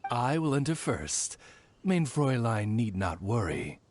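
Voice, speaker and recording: An actor playing a dignified, loyal raven retainer in a video game, speaking formally like a butler. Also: male voice, mid-range voice, very animated, measured pace, noisy background. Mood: deferential. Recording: game music behind the voice.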